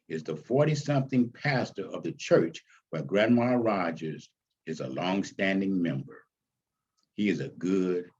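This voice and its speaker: A man reading his own stage script aloud as its author, with deliberate, dramatic phrasing. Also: deep voice, darkish tone, husky, dull audio.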